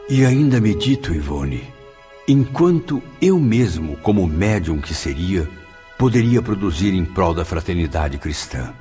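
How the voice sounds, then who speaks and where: A man's voice: deep voice, big pitch swings, measured pace, warm tone. A man playing an earnest, grateful spirit student in a radio drama, speaking formally and reverently. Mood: earnest, resolved. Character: Camilo, earnest, grateful male spirit student recounting his afterlife. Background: background music.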